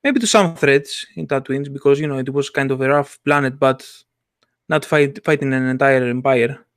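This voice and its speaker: Guy, slightly high gravelly voice, hesitant podcast chat, super dry sound. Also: no echo. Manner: halting, searching for words, non-native grammar. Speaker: podcast co-host. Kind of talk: casual discussion.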